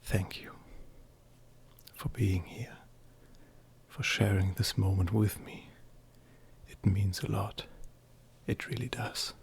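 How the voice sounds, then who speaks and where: A man playing an affectionate, teasing furry male friend in an intimate roleplay ASMR, softly flirtatious and hesitant, with a breathy, whispery voice. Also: lowish voice, little pitch movement, slow pace, pauses often, exaggerated voice. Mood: tender, grateful.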